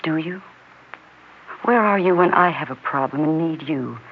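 The other woman actress, American, lonely, wounded, clear-eyed; 1960s radio drama on a muffled, faintly noisy recording. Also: deep voice, normal speed, close to an everyday voice. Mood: hurt, resigned.

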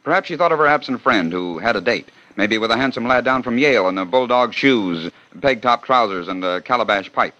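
A male announcer narrates a scripted 1940 radio program with brisk, wry storytelling. He speaks quickly in a bright, forceful tone. The old recording sounds dull and muffled. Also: mid-range voice, animated.

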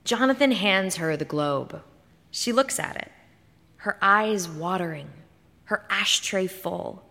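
A woman giving a dramatic, expressive reading of literary fiction, like a performance on stage. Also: medium pitch, slow, vocal fry. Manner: theatrical, expressive delivery. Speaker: short story reader on stage. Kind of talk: dramatic scripted literary narration. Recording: reverberant hall.

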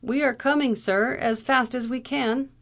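Woman voicing a bold, brisk gentleman lawyer. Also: high voice, lively intonation, gravelly, full character voice. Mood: brisk confidence.

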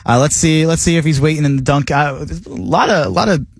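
A man hosting a sports betting radio show in a studio, rattling off his picks in a jokey, rapid-fire way. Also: on the high side, husky, no room sound. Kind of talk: casual sports radio talk. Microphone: studio mic.

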